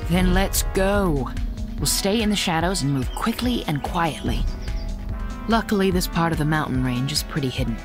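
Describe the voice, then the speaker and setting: Casting: actress as cunning kitsune, lowish female voice, swooping intonation, bossy and teasing, audio drama with music behind. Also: expressive, moderate pace, close to an everyday voice. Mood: urgent, commanding. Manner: commanding, teasing. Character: sly, playful kitsune fox woman, bossy and teasing.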